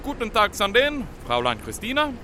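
A pompous German commandant in an old-time radio drama. He declaims about culture in a fairly high male voice that swings widely, grand and theatrical, over clear outdoor noise. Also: wildly swooping pitch. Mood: grandiose.

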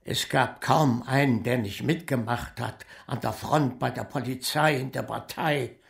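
Old guy, creaky voice, really slow n hesitant, lonely retired broker w guilt, radio drama. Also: mid-range voice. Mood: heavy, confessing. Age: elderly. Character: lonely, guilt-laden old retired male estate agent.

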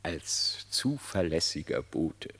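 An actor playing a cunning male fox, a smooth-talking trickster, in a classic verse radio play. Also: low voice, very animated, takes it slowly, fairly bright tone, exaggerated voice. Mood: sly. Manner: wheedling, persuasive, theatrical verse delivery.

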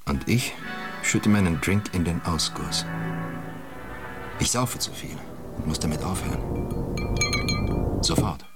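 A man's voice: deep voice, lively intonation, measured pace, pauses often, over loud music. An actor playing a troubled, hard-drinking man in a radio drama. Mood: weary, dry. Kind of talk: German radio drama acting.

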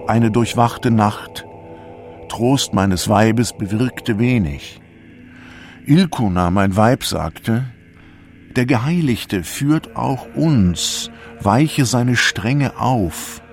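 Langsam grübelnder, frommer Mann. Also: male voice, medium pitch, animated, faint music behind. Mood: brooding, confessional. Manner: brooding, measured, incantatory. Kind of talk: poetic scripted radio drama monologue.

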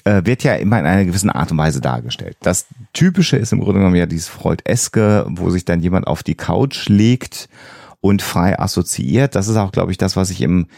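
A man's voice: low-pitched, expressive, normal speed, creaky, darkish tone, dry recording, studio quality. A man co-hosting a podcast, explaining things in a conversational way. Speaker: podcast co-host.

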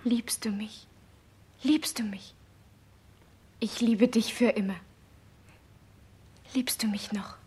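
A devoted young queen in a 1960s radio play. Her high voice is extremely breathy, almost whispered. She speaks slowly, with tender pleading. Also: steady tone, dark tone, exaggerated voice.

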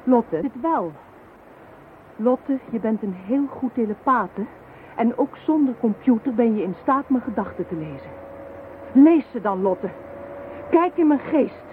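An actress playing a determined, persuasive police inspector in a Dutch radio drama, speaking insistently and deliberately. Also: female voice, fairly high pitch, lively intonation. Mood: firm, coaxing. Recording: muffled narrow-band phone-like audio, noisy.

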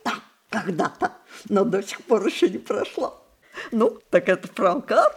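An actress playing a proud, tender old grandmother in an audio play, with theatrical, unhurried, knowing delivery. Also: mid-range voice, very animated. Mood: tender, probing. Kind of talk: Russian stage-style audio play acting.

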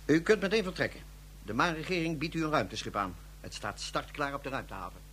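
A man playing a brisk, urgent government official in a Dutch science fiction radio play. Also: on the high side, animated, fairly bright tone, a little hiss or hum.

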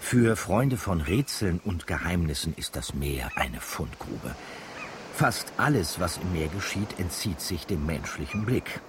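Conspiratorial storyteller, very low pitch, lively intonation, ironic, radio drama narration. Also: male voice, unhurried, background noise. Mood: musing. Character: wry, knowing local storyteller addressing the listener. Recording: music behind the voice.

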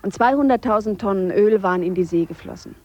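Schauspielerin als ernste, nachdenkliche Biologin mit weicher, dunkler Stimme. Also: female voice, mid-range voice, darkish tone, close to an everyday voice. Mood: earnest, reflective. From Switzerland. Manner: measured, reflective, pausing. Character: earnest, reflective Swiss woman biologist in radio play. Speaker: biologist character. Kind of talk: radio drama monologue, spoken letter.

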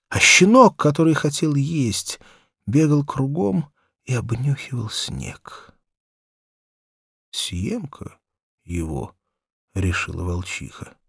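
A man narrating a children's story as an audiobook, theatrical and expressive, with dramatic pauses and emphasis. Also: on the low side, vocal fry, no room sound, studio quality.

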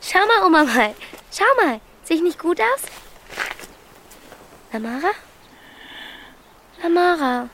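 High-voiced, feisty teenage girl. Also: very animated, takes it slowly, pauses often, fairly bright tone, slight background noise, exaggerated voice. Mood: coaxing. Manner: blunt, impatient, slangy. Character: feisty thirteen-year-old girl caring for her grandmother. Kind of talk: naturalistic radio drama acting.

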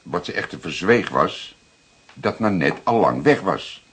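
An actor playing a seasoned older police detective in a Dutch radio drama, explaining things deliberately with dramatic pauses. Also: male voice, low-pitched, big pitch swings, fairly bright tone, slightly rough, heavily acted. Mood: patient, explaining. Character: seasoned older male police detective, calm, plain-spoken storyteller.

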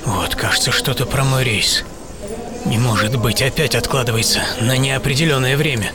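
A man's voice: mid-range voice, normal speed, natural voice. A man playing a famous, gloomy English actor in a wry, theatrical radio monologue. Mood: gloomy, irritable. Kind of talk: dramatised first-person monologue, radio play. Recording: loud music under the voice. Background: loud background music.

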